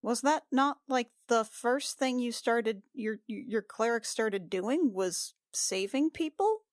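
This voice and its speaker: A woman's voice: a little high, expressive, takes it slowly, dry recording, clean studio sound. A woman playing a tabletop game, improvising casually in character with a blunt, informal manner.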